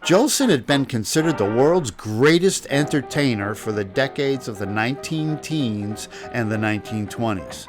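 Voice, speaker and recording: Male narrator-host, mid-pitched, scripted review commentary over a prominent music bed. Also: lively intonation, normal speed, few pauses. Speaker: host, reviewer of old-time radio.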